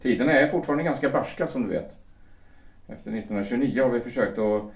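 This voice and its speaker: A man's voice: medium pitch, vocal fry, lightly acted. A man playing an earnest young shipyard worker in a radio play, deferential and prone to stammering. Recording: echoey room, distant mic.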